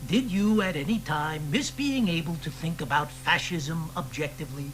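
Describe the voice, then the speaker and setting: An actor playing a provocative, wry poet who needles the people around him. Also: male voice, fairly high pitch, normal speed, noisy background, lightly acted. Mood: needling. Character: provocative male poet of the protest era. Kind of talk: radio drama acting.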